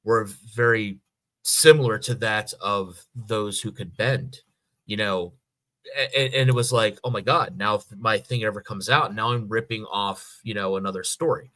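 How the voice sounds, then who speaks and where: A man, middle-aged, in a casual podcast discussion, reasoning aloud in a halting way and rephrasing as he goes. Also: on the low side, a bit bright, no room sound. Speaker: podcast co-host.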